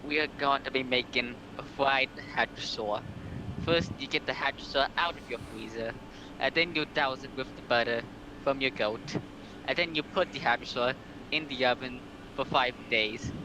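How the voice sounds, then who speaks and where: A man's voice: medium pitch, moderate pace. Noisy-line man joking on a stream. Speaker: stream co-host. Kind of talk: casual joking chat.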